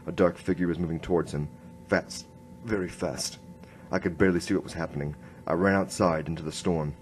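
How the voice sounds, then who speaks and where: An actor playing an earnest, halting noir police inspector who narrates his case in a radio drama. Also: deep voice, darkish tone, slight background noise, lightly acted. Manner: hard-boiled first-person storytelling, halting.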